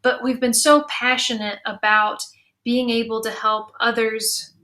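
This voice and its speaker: Woman, fairly high pitch, bright forceful tone, earnest, conversational panel talk, from New Mexico. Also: level tone, measured pace. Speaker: panelist, audio drama producer.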